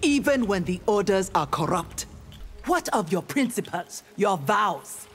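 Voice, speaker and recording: A woman's voice: mid-range voice, very animated, unhurried. A woman playing a defiant, honorable female ninja warrior in a video game, speaking firmly and earnestly. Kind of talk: scripted video game dialogue. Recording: music behind the voice.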